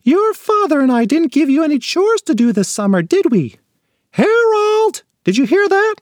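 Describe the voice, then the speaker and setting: Guy putting on a bossy mom voice, high and swoopy, scolding, dry sound. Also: sing-song, normal speed, no echo. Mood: stern. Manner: scolding, emphatic. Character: bossy mother, middle-aged woman, strict.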